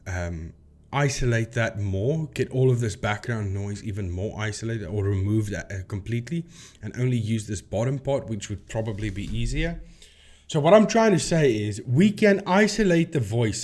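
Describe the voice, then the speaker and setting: A man's voice: mid-range voice, a little mellow. A man hosting a debunking video, explaining his points emphatically and repeating phrases for stress as he walks through a demonstration. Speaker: video host debunking paranormal claims. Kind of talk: explanatory commentary with screen demonstration.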